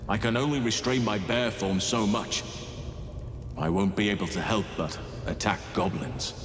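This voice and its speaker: A man playing an earnest, formal druid who takes bear form in a fantasy video game, speaking in a measured way. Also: fairly low pitch, lightly acted. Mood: grave, resolute. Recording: echoey reverberant hall, music behind.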